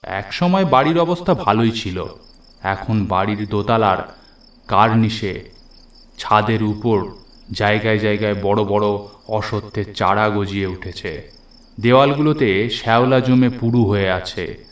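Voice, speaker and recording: A man's voice: fairly low pitch, a little slow, long pauses, far from the mic. A man giving scripted first-person narration of a horror story. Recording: echoey, off the mic, music behind.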